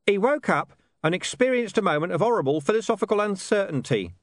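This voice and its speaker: A man voicing a streetwise talking dog in an audiobook, bossy, wry and quick to explain. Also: high voice, expressive, no echo, studio quality, voice pushed up. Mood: explanatory. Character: gruff streetwise talking dog speaking from the shadows. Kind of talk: audiobook character voice.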